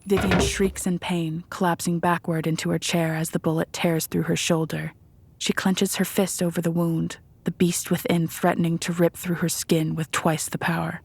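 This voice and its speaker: Low, even-toned woman narrating horror. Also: moderate pace, creaky, breathy edge, no room sound. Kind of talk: dramatic scripted narration of a horror audio drama.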